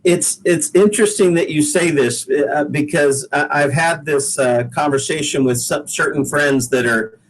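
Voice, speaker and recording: A man's voice: a little high, normal speed, few pauses, poor audio. An American man from the Pacific Northwest chatting casually as a guest singer-songwriter, explaining himself in an earnest, easygoing way. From Pacific Northwest, United States. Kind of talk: casual interview chat.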